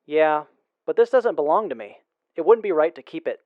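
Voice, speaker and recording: A man's voice: on the high side, animated, normal speed, no room sound, muffled recording, lightly acted. A man playing a sincere, principled wasteland traveler in an audio drama, speaking a little hesitantly. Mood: earnest.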